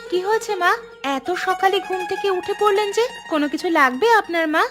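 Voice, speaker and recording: A woman's voice: very high-pitched, brisk, music in the background. A woman voicing a caring, hardworking young village wife in a Bengali cartoon. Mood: concerned, reassuring.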